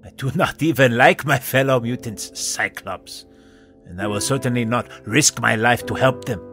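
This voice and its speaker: A man's voice: mid-range voice, moderate pace, a bit bright, faint music behind, close to an everyday voice. An actor playing a proud, hot-tempered young male superhero in an audio drama, speaking formally and with disdain. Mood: contemptuous.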